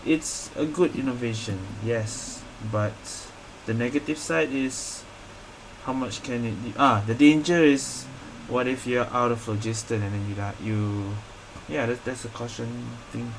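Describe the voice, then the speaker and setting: A woman's voice: extremely low pitch, unhurried. Woman streamer chatting casually over a game, choppy muttered reactions, noisy room hum. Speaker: gaming streamer. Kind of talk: casual playthrough commentary.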